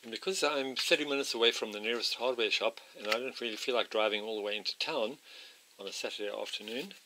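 A man talks through a do-it-yourself plumbing job at an average pitch, pausing with ums and uhs as he shows his work. He is recorded on a camera microphone in an outdoor work area. Steady noise and clicks sit clearly behind his voice. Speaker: DIY video maker. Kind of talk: hands-on home repair walkthrough. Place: outdoor workshop area by a house.

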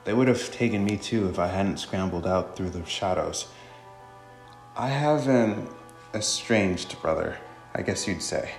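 A man plays a grave, brooding male protector from a war-torn game story. The voice is low and formal, with room echo around it. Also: unhurried, gravelly, faint music behind. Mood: somber.

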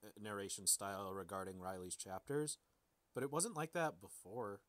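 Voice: low-pitched, normal speed, fairly bright tone, dry recording. A podcast co-host talking in casual discussion.

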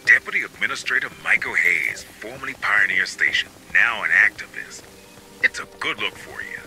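An actor playing a cynical, streetwise squad adviser in a video game, talking in a sardonic, clipped way. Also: male voice, fairly low pitch, expressive, moderate pace, bright, forceful tone, slight background noise, rough recording. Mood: dry. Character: wry, streetwise male squad adviser, cynical rebel realist. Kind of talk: video game cutscene and mission dialogue acting. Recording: game music behind the voice.

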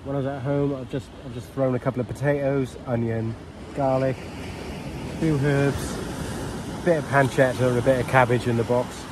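A man's voice: medium pitch, fairly even intonation, moderate pace. Man, forties, mid-range voice, casual understated vlog chat, noisy open-air beach recording. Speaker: fishing and cooking vlogger. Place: open shingle beach beside a shelter. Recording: noisy outdoor ambience, wind and waves.

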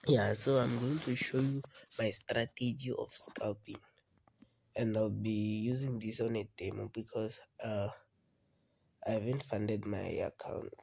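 A man's voice: fairly low pitch, steady tone, measured pace, gravelly, a little mellow, slightly rough, poor audio. A man with a cold hosting a casual trading tutorial over a screen recording, often repeating himself and asking "you understand?". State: has a cold. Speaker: trading video host.

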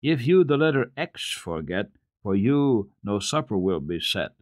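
A man voicing an earnest, kindly little girl who coaxes and recites in a measured sing-song for a storybook. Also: on the low side, big pitch swings, dry recording, studio quality. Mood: reciting.

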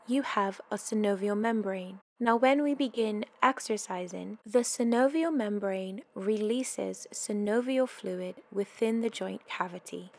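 A woman tutor narrating a scripted slideshow lesson in a measured, instructional way, pausing to ask the listener questions. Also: mid-range voice, vocal fry. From United Kingdom. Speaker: fitness course tutor. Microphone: voice-over.

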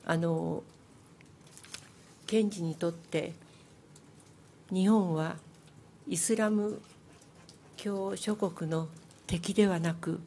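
A woman's voice: lowish voice, fairly even intonation, takes it slowly, long pauses, warm tone, slight background noise. An older woman speaking earnestly and politely at a press conference, sometimes restarting her words. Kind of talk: formal press conference statement. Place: large press club conference room. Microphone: table microphone on a stand.

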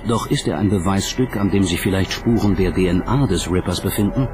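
A man's voice: low voice, fairly quick, music in the background. A man reading scripted narration as the narrator of a documentary.

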